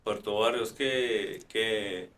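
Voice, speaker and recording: A man's voice: fairly low pitch, drawn-out words, a bit bright. A man hosting a live talk show, chatting with many fillers and restarts. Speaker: host. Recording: roomy, distant mic.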